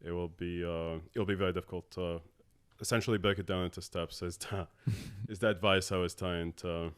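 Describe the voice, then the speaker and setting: A man in his thirties from Abu Dhabi, a tech co-founder talking as a podcast guest in a halting way with many filler sounds. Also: sits low, fairly even intonation, smooth. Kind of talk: casual interview talk.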